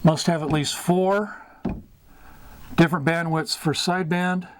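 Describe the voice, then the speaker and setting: A middle-aged man giving a casual tutorial as host, speaking haltingly in short phrases with pauses. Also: on the high side, fairly even intonation. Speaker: host of a hobby radio tutorial. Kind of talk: casual whiteboard explainer. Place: small wood-paneled indoor room. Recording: clear background noise, room ambience.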